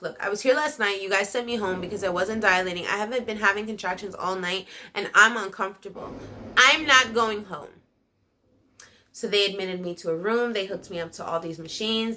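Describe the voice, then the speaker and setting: A young woman chatting through a personal storytime. Her voice is bright and clear, and she talks in a loose, animated way full of fillers. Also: medium pitch. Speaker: vlogger. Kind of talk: casual storytime.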